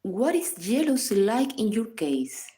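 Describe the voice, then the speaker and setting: A woman reading a scripted guided meditation in a calm, measured, instructional manner. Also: on the high side. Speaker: psychologist guiding a meditation.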